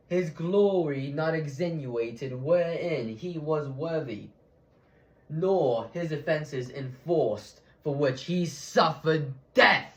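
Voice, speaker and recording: A man playing a solemn Roman senator in a theatrical Shakespearean monologue, declaiming to a crowd with dramatic pauses and swells. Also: a little high, pitched above the performer's own voice. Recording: echoey room.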